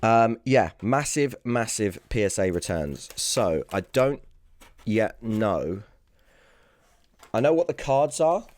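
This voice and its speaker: Raspy, hoarse British man with swinging pitch. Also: big pitch swings, takes it slowly, darkish tone, no room sound. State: hoarse, lost voice from screaming the night before. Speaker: sports card video host. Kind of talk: casual unboxing commentary.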